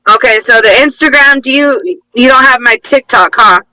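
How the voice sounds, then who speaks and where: A woman's voice: high voice, normal speed, sharp tone, no echo, rough recording. A woman guest on a podcast, telling a personal story in a rambling, emotional way. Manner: rambling, emotional, fillers and restarts, acts out quotes. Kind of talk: casual storytelling in interview.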